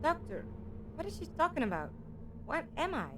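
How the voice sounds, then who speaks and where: A woman's voice: high-pitched, big pitch swings, moderate pace, background noise. A woman playing a bewildered, hurt young companion in an audio drama, questioning with bitter defiance.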